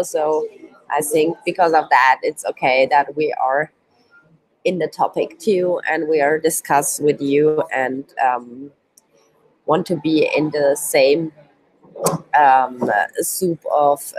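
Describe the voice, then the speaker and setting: Low-voiced hesitant woman lecturing slowly. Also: low-quality recording. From Switzerland, Turkish roots. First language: not English. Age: 20s. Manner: hesitant non-native English, many ums. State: sick. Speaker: youth work trainer. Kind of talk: live online course presentation.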